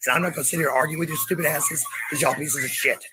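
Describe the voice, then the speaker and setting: A woman's voice: low-pitched, fairly even intonation, racing. Confrontational woman, noisy recording. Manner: confrontational, profane. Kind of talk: combative livestream rant. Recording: noisy livestream audio.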